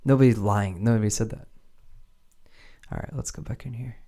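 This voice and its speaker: A man thinking out loud in a laid-back, casual way as he demonstrates music production software. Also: lowish voice, normal speed, gravelly. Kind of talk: casual tutorial chat while working.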